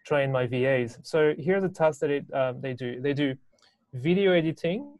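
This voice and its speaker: French-accented man, fairly high voice. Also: normal speed, a little mellow, no room sound. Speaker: guest, business owner and educator. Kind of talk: casual interview, explaining. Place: small indoor room, video call.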